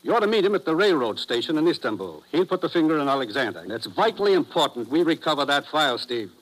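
Boss giving orders, quick and animated, clipped, official, old radio drama. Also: male voice, a little high, poor audio. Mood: brisk, serious. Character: brisk businesslike male commissioner briefing his agent. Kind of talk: 1950s radio drama acting. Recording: vintage 1950s radio broadcast.

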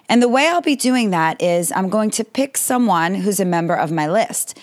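Woman, slightly raspy voice, podcast host, earnest, speaks straight to listeners. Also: mid-range voice. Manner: friendly, earnest, direct address to listeners. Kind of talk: solo podcast talk on family advice.

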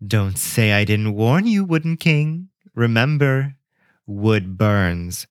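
Male narrator doing a cloaked witch high priestess: calm, breathy, menacing. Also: slow, dry recording, studio quality. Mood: ominous.

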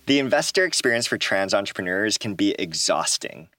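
A man hosting a podcast, reading scripted narration in a clear, measured way. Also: lowish voice, sing-song, pushed and bright. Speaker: podcast host.

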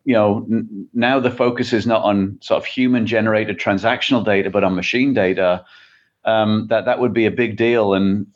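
Low-pitched Englishman, slightly muffled recording. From England. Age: middle-aged. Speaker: podcast guest, tech chief executive. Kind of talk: conversational interview answers. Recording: dull, muffled lo-fi connection.

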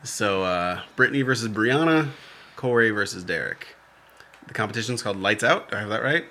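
A man's voice: on the low side, expressive, brisk, sharp tone. A man co-hosting a podcast, recapping a reality show in loose, joking, profane chat. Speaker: podcast co-host.

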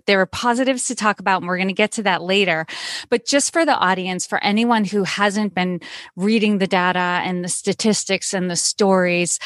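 A woman's voice: mid-range voice, no room sound, studio quality. A woman hosting a podcast, giving upbeat, scripted introductions and then asking questions. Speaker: podcast host.

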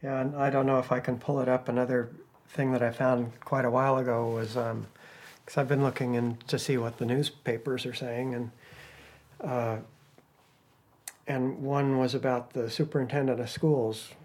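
A man's voice: mid-range voice, level tone, moderate pace, a little mellow. A man talking casually about his research, in a conversational way with fillers and asides. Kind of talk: casual explanation.

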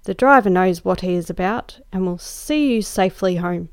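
A woman voicing a kindly, polite grey-haired old gentleman, speaking slowly and calmly. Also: lowish voice, dry recording, studio quality. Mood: reassuring.

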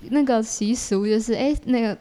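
A woman with a Taiwanese Mandarin accent explaining things casually as a podcast guest, with quick repeated words of agreement. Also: on the high side, warm tone, rough recording. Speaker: podcast guest, creator of audio works.

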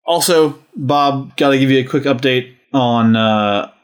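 Male podcast host, mid-pitched, casual conversational delivery for show announcements. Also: measured pace, clear, resonant tone.

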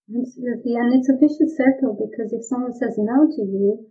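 A woman's voice: fairly high pitch, fairly even intonation, a little fast. A woman from Romania, a guest on an interview, explaining sales mindset in an earnest way. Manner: earnest, explanatory, laughs lightly at times. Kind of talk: conversational interview. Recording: husky voice over a tinny phone-like line.

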